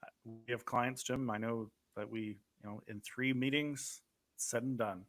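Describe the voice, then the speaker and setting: A man's voice: medium pitch, fairly even intonation, a little slow, fairly bright tone. A man chatting casually on a panel as a financial advisor.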